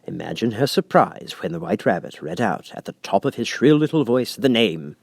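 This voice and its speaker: A man's voice: on the low side, sing-song, moderate pace, creaky, darkish tone, breathy edge, dry recording. A man reading a children's story aloud as scripted audiobook narration.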